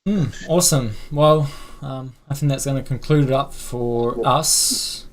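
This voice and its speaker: A man hosting a livestream, chatty, with a slightly croaky voice. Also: mid-range voice, measured pace. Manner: chatty, filler-heavy wrap-up. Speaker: livestream host. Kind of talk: casual livestream chat.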